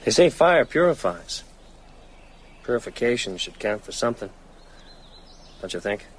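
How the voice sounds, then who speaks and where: An actor playing a weary, haunted man out to hunt vampires, brooding and halting in a radio drama. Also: medium pitch, sing-song, slight background noise, close to an everyday voice. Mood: weary resolve.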